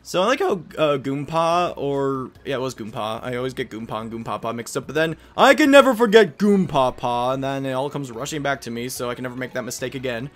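A man's voice: mid-range voice, fast talker, hardly any pauses. A man hosting a let's play, giving casual game commentary with rambling, joking asides.

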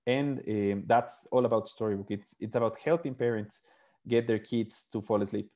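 Man, 30s, from Ecuador, startup founder, warm dark tone, earnest, filler words, earphone mic on video call. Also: lowish voice, normal speed. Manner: earnest, fluent with frequent fillers. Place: small room indoors.

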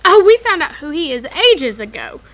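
A woman's voice: sits high, lively intonation, unhurried, bright, forceful tone, exaggerated voice. A woman reading aloud voices a cheeky schoolboy who grumbles about study and boasts to his friend. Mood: boastful. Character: lazy, cheeky schoolboy wizard.

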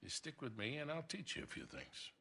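An actor playing a gruff, worldly older American treasure hunter in a video game cutscene, with a gravelly, wry drawl. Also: male voice, a little high, animated, a little fast, bright, forceful tone, full character voice. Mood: wry, persuasive. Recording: music behind dialogue.

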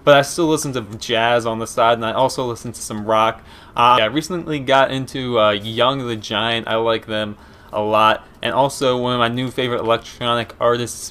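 A young man with a Philadelphia and Eastern Pennsylvania accent talks casually over gameplay from a small room at home. He rambles in an easygoing way, full of fillers and restarts, at a middle pitch. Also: fairly bright tone, clear, resonant tone. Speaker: gaming commentator.

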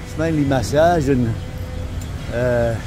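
An older man, narrating a relaxed, chatty walking vlog outdoors on a city street. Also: medium pitch, slow pace, music in the background. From England. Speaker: vlogger. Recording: street traffic noise. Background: traffic noise.

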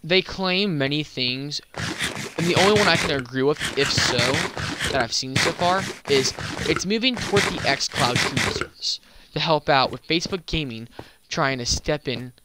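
A man's voice: fairly high pitch, unhurried, background noise. Young guy streamer, kinda slurs and stumbles, little lisp, clicky keys in the back. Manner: stumbling, slurred casual speech with a slight lisp. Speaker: gaming YouTuber and streamer. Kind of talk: casual gameplay chat.

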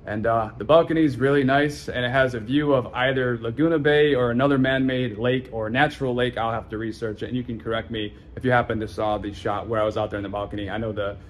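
A man's voice: medium pitch, quick pace, hardly any pauses, slight background noise. A young man giving a casual, chatty walkthrough of an apartment, filmed on a handheld camera at arm's length indoors. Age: young adult. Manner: relaxed, chatty, loose phrasing. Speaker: vlogger touring a condo. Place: indoor apartment and building walkways.

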